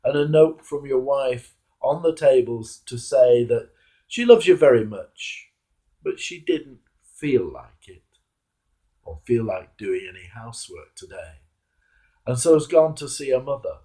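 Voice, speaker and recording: A man, a clergyman, reading a devotional sermon in a measured, emphatic manner with rhetorical turns. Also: mid-range voice, expressive, warm tone. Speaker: Anglican clergyman reading a reflection. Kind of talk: devotional sermon, read aloud.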